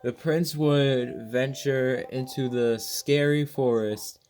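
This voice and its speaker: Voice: mid-range voice, steady tone, slow pace, a little mellow. A gaming video host reading a game's story text aloud, often stumbling over words and rereading them, with casual reactions.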